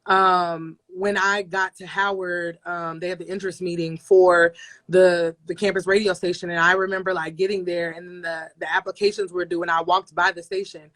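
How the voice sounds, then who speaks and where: A woman's voice: fairly low pitch, flat delivery, normal speed, a bit bright. Emphatic young woman telling her story. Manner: emphatic, storytelling, repeats phrases for effect. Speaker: radio personality guest. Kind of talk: casual interview conversation.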